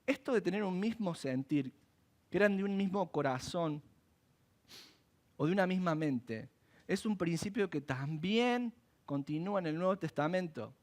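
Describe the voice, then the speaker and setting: A man preaching a sermon in church, animated and asking rhetorical questions. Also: high voice, normal speed. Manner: animated, acts out quoted voices and asks rhetorical questions. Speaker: pastor.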